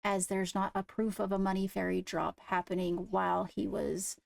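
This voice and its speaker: Female investigator for fantasy game roleplay acted by a woman: formal, measured, careful with words, gravelly fry, close dry sound. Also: medium pitch, little pitch movement, dry recording. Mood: measured, businesslike. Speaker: roleplay player acting as an investigator. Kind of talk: improvised in-character roleplay dialogue.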